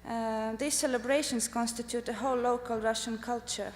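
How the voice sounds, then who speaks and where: A woman from Estonia, a native Estonian speaker, lectures from a podium at a conference. Her voice sits a little high and her delivery is careful and halting, broken by frequent fillers. The sound carries a long hall reverb over a faint hum. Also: fairly even intonation. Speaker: artist presenting at a summit. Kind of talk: conference presentation with slides.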